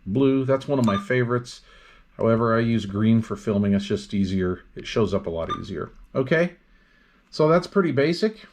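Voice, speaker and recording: A man's voice: mid-range voice, expressive, moderate pace, a little mellow, slight background noise. A man reviewing radio equipment in a casual, hands-on demonstration, explaining things step by step without hurry.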